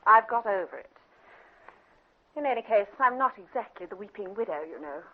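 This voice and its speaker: A woman's voice: mid-range voice, animated, moderate pace, close to an everyday voice. Woman acting cool, bitter English widow, dull recording. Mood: bitter, frank. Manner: dry, unsentimental. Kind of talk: classic British radio drama acting. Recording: dull vintage radio recording.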